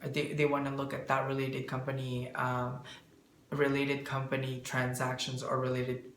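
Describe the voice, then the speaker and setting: A man answering interview questions as a bookkeeper, explaining in a halting way and searching for words. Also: medium pitch, monotone, a bit bright. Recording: echoey room, faint background noise.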